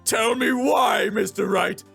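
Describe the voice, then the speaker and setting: A man voicing a sad, naive, soft-hearted actor in a video game, plaintive and hesitant. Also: sits high, slow pace, creaky, breathy edge, faint music behind, voice pushed up, full character voice. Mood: tearful, plaintive.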